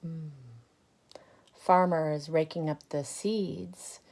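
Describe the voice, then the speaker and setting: A woman reads a picture book to children at an unhurried pace. Her voice sits slightly low, and she breaks off for gentle comments and questions to her young listeners. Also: fairly even intonation, vocal fry. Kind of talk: read-aloud storytime with commentary for children.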